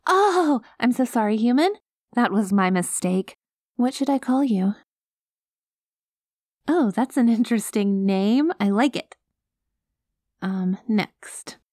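Woman acting a high-voiced, earnest alien girl. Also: animated, takes it slowly, long pauses, a little mellow, dry recording, clean studio sound. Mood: anxious, apologetic. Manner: naive, literal, hesitant, reassuring. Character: cute, earnest, gentle alien girl rescuing a human. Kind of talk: ASMR roleplay acting.

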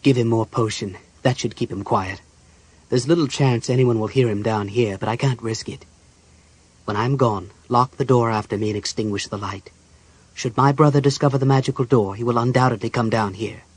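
A cold and scheming archmage acted by a male narrator in a fantasy audiobook. His voice is husky and controlled, with formal, measured phrasing that carries command. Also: medium pitch, lightly acted, low-quality recording.

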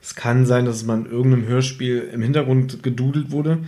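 A man's voice: mid-range voice, steady tone, brisk, dark tone. A man co-hosting a podcast, casually reviewing and retelling a story from his notes. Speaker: podcast co-host.

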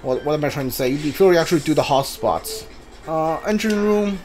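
A video game player giving casual live playthrough commentary, thinking aloud in a halting way with mumbled asides. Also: mid-range voice, animated, darkish tone. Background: video game music.

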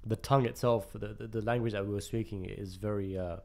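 A man with a West London accent hosting a casual podcast over a video call, chipping in with quick, chummy remarks. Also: on the low side, steady tone, gravelly, darkish tone. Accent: West London English.

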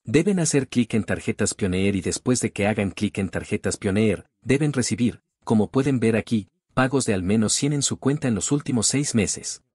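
Hombre de voz grave y ronca. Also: male voice, lowish voice, expressive, moderate pace, a little mellow, breathy edge, dry recording, clean studio sound. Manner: casual, repetitive, addresses viewers as guys. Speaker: tutorial host. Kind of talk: screen-recording tutorial voice-over.

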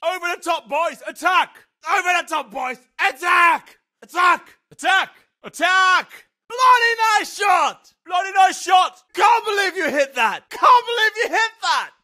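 An actor playing a battle-hardened British First World War soldier in a video game, barking short, urgent lines with a British accent. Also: male voice, very high-pitched, lively intonation, measured pace, hardly any pauses, sharp tone, raised voice, exaggerated voice. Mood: fierce, exultant.

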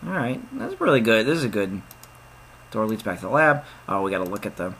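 A young man narrating a point-and-click game as he plays, his voice bright and lively, swinging up for jokey asides. He reads the on-screen text aloud in a casual, excitable way. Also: fairly low pitch, brisk, slight background noise. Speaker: let's play gamer. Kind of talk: casual let's play commentary.

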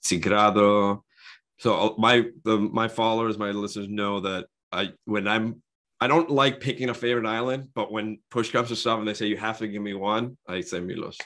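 A man's voice: fairly low pitch, fairly even intonation, moderate pace, a bit bright. A middle-aged man hosting a podcast, chatting with a guest over a video call in a friendly, affirming way. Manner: friendly, affirming, frequent backchannels. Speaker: podcast host. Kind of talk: casual interview chat. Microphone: video call, webcam.

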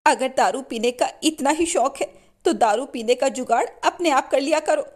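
A woman's voice: sits high, normal speed, pitched above the performer's own voice, natural voice. A woman voicing a long-suffering, outspoken poor mother in a cartoon story, earnest and plaintive. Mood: indignant.

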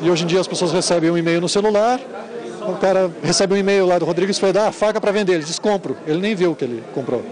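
A man's voice: high voice, fast, a little mellow. Southern Brazilian man over busy hall chatter. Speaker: craftsman being interviewed. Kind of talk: informal explanation in interview. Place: large indoor fair hall. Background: crowd chatter.

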